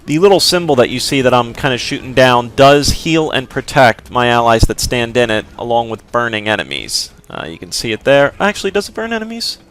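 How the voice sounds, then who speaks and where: A man's voice: mid-range voice, very animated, a little fast, hardly any pauses, fairly bright tone, smooth. A man casually commentating a game walkthrough, explaining as he plays, with a rambling, hedging way of talking. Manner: rambling, lots of fillers and hedges. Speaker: gameplay commentator.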